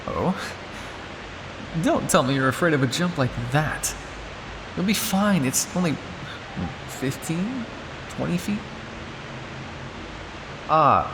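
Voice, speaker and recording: A man's voice: fairly high pitch, big-room sound, noisy background, full character voice. A man playing a cynical, world-weary vampire scientist on the run in an intimate, condescending ASMR roleplay monologue. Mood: teasing, grudgingly gentle. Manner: sardonic, condescending, with exasperated sighs and dry asides.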